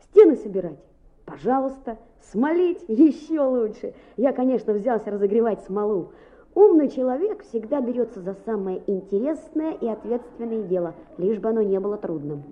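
A cheeky, high-voiced schoolboy, muffled recording. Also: female voice, moderate pace. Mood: sly, self-satisfied. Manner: expressive, boyish storytelling. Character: mischievous, chatty schoolboy, cheeky and artful. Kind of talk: radio play narration and dialogue. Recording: muffled, narrow-band old radio recording.

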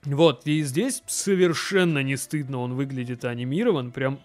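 A young man co-hosting a podcast, chatting casually with lots of fillers and quick restarts. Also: mid-range voice, soft background music. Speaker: podcast co-host. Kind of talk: casual chat.

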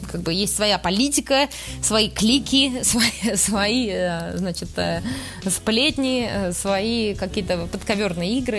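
A woman from Russia giving thoughtful interview answers, often searching for words. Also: on the low side. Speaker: interview guest, museum worker.

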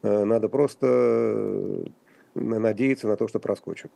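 A man speaking as a guest commentator on a radio talk show, halting and wry, with frequent restarts and fillers. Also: lowish voice, steady tone, creaky, dark tone, no echo. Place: radio studio.

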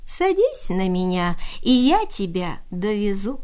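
A woman voicing a proud, stern magical eagle from a folk tale, in a solemn, drawn-out way. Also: mid-range voice, sing-song, a little mellow, clean tone. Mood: inviting.

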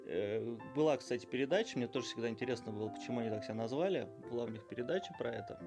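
A middle-aged father rambles and jokes through a casual home recording, his voice sitting at an ordinary pitch. Clear music plays underneath him throughout. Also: racing. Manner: joking, rambling. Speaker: guest parent.

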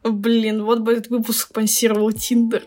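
High-voiced young woman chatting over music. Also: monotone, moderate pace. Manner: slangy, candid, teasing. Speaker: podcast co-host. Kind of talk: casual chat.

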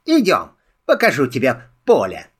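A man voicing a sly, chatty little male rabbit trickster in a filmstrip audio drama, talking in a wheedling, flattering way. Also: mid-range voice, normal speed, breathy edge. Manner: wheedling, flattering, sing-song.

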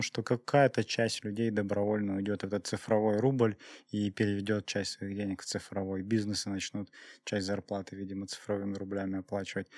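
A man talking conversationally on a podcast, explaining and reasoning aloud in a measured way. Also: lowish voice, no echo. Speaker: podcast co-host, IT professional. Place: podcast recording studio. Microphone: close studio microphone.

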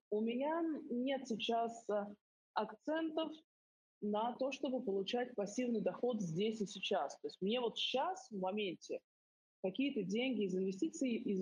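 A middle-aged woman, a financial advisor, walking through her investing approach point by point in a live voice chat, often pausing on "uh" and "mm". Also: mid-range voice, a little mellow, slightly rough. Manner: methodical, structured into numbered points with frequent "э" and "м" fillers. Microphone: voice chat over phone or computer. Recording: dull lo-fi voice chat audio.

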